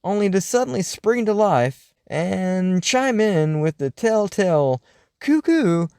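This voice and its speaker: A man hosts in a scripted radio-show style, theatrical and wry. His pitch sits a little high and swings widely, yet the tone stays mellow. The sound is close and dry. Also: very animated, slow pace, gravelly, clean tone, dry recording. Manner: theatrical, wry, musing delivery. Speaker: host. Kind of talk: scripted radio-show style narration, reading listener mail.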